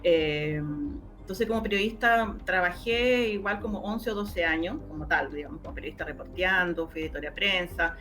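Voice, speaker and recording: A middle-aged woman with a Chilean accent, telling stories conversationally as an interview guest, often pausing to find her words. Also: fairly low pitch, a bit bright, soft background music. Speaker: interview guest, radio drama maker and journalist.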